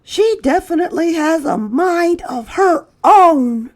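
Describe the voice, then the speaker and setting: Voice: extremely high pitch, animated, slow, voice pushed up, exaggerated voice. A storyteller voicing an earnest, cautious little boy mouse in a children's storybook, speaking with careful emphasis. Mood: disapproving.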